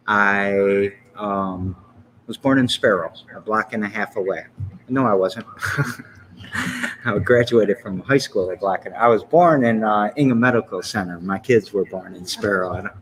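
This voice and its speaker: Low-voiced man rambling about his life. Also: measured pace, a bit bright, a little hiss or hum, low-quality recording. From Lansing, Michigan. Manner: rambling, self-correcting, chuckling. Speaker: podcast host. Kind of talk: casual interview chat.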